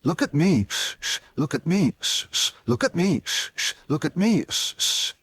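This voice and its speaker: A man's voice: high voice, big pitch swings, measured pace, darkish tone, no room sound, pitched above the performer's own voice, exaggerated voice. A man voicing a cheerful, eager male steam engine that chugs along with puffing shh sounds. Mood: cheerful chugging.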